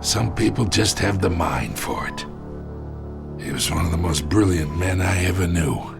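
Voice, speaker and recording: Old injured gangster boss, slow, gravelly, sly, menacingly familiar, video game drama. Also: male voice, low voice, over music. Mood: grave, taunting. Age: older. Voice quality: gravelly, pained. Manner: slow, drawling, streetwise gangster talk.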